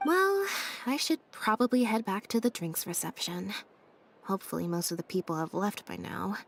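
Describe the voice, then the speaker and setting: An actress voicing a calm, deadpan young woman, a stage magician's assistant in a video game, speaking in a flat, understated, dryly matter-of-fact way. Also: medium pitch, moderate pace, noisy background.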